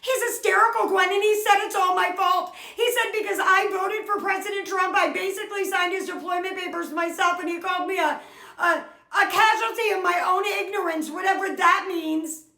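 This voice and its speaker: A woman's voice: extremely high pitch, fairly even intonation, normal speed, gravelly, sharp tone, roomy sound, heavily acted. A woman voicing a whiny, gullible middle-aged mother in denial, wailing and pleading in a comedy skit.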